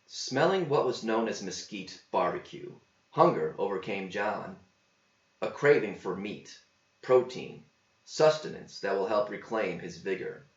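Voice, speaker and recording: A man reading a verse story aloud as a scripted narration, with measured delivery. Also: fairly low pitch, expressive. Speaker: author reading own verse epic. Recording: roomy, slightly dull home recording.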